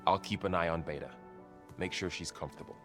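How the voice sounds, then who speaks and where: Deep-voiced, kind soldier friend. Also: male voice, quick pace, fairly bright tone, faint music behind. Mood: caring, quiet. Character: kind, easygoing young male soldier friend. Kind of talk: video game cutscene acting.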